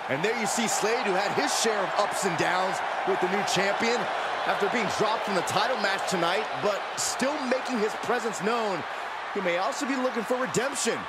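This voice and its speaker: An excitable male pro wrestling announcer, acted for a game, calls the action in a very high voice. He is urgent and exclamatory. A loud arena crowd and heavy noise sit behind him. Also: moderate pace, close to an everyday voice. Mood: earnest. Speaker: wrestling commentator. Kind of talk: excited play-by-play commentary.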